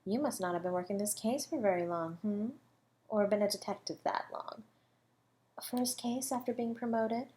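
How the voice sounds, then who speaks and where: A suave, flirtatious female murder suspect played by a woman in an audio roleplay. She is mocking and condescending, stretching words theatrically and slipping into breathy asides as she toys with her listener. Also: mid-range voice, normal speed, dark tone, lightly acted. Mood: flirty, teasing. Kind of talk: scripted audio roleplay monologue.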